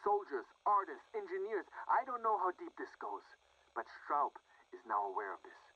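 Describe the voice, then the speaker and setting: An actor playing an earnest, secretive wartime researcher, speaking gravely and conspiratorially in a dramatic video game audio log. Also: male voice, fairly high pitch, sing-song, moderate pace. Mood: urgent, conspiratorial. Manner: grave, conspiratorial, dropping toward hushed tones. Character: earnest, secretive male wartime researcher leaving urgent warnings. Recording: dull lo-fi radio recording.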